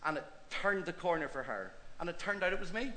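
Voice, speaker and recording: A man's voice: sits high, a little fast, heavy background noise. A middle-aged man with a Northern Irish accent, a pastor telling his story chattily on a church stage. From Northern Ireland. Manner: chatty, self-deprecating, animated. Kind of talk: stage interview and preaching.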